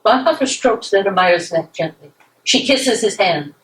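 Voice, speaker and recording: A woman narrates stage directions at a play reading. Her pitch is medium and moves in a lively way. She sounds set back from the microphone in an echoing room. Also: normal speed. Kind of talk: scripted narration. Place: library meeting room, live reading. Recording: echoey room, distant from mic.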